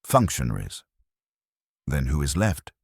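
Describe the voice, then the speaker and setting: A man voicing a keen, brooding master detective in an audio story, explaining in a deliberate, theatrical manner. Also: rumbling low, very animated, husky, dry recording, clean studio sound, exaggerated voice. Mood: dramatic, assured.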